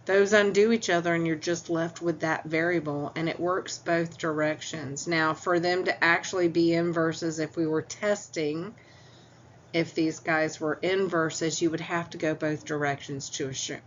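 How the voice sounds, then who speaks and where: A woman teaching math in a recorded lecture, explaining each step patiently, with a stuffy nose and clearing her throat now and then. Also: low voice, fairly even intonation, moderate pace. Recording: dull lo-fi audio with faint hum.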